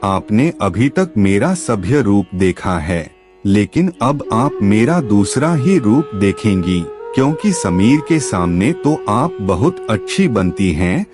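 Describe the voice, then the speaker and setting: A wronged young daughter-in-law played by a male narrator stands her ground with polite but pointed defiance. The lines come slowly and with animated intonation, in a family storybook drama set over music. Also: on the low side. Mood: defiant. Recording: music behind the voice.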